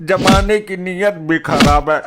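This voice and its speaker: A man's voice: high-pitched, unhurried, loud music behind the voice. A man playing a dramatic cartoon husband who believes he is a demanding woman, talking theatrically. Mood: panicked. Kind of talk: cartoon comedy dialogue. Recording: noisy cartoon soundtrack.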